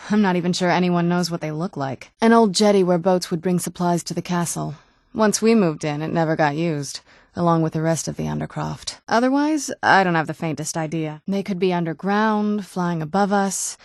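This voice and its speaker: A woman voicing a guarded, wounded young vampire noblewoman in a video game, with dry understatement and hesitant pauses. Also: fairly low pitch, darkish tone, no echo, natural voice. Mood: curious, matter-of-fact. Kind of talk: video game character dialogue. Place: dry studio recording.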